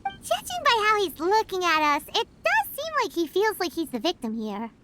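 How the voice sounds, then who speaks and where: A tiny, excitable fairy companion girl from a video game. The voice is extremely high, squeaky and childlike. It bounces wildly up and down in chirpy exclamations, with loud noise behind it. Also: normal speed, exaggerated voice. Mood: curious, chatty.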